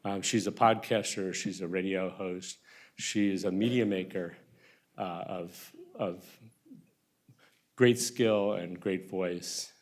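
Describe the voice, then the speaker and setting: A man introducing the emcee at a conference in a halting way, with many hesitations. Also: low-pitched, fairly even intonation. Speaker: event host introducing the emcee. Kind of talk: stage introduction.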